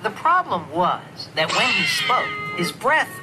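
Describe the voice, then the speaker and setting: A storyteller narrating a rhyming children's story in an expressive, theatrical, sing-song way. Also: medium pitch, slow. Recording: narration over loud music. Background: music.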